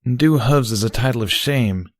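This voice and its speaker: A man's voice: medium pitch, moderate pace, dry recording, studio quality, pitched above the performer's own voice, close to an everyday voice. Man acting a tight, gritty, miserable mare. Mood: miserable, ashamed. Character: miserable, ashamed female pegasus pony.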